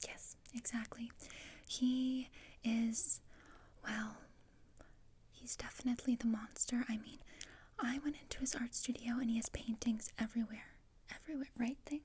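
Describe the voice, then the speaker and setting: Woman as gloomy, haughty teen girl for ASMR roleplay: very breathy whisper, dark and soft, dryly sardonic. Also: fairly high pitch, normal speed. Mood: deadpan, suspicious. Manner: deadpan, dry, haughty. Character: gloomy, sardonic teenage outcast girl, coldly disdainful.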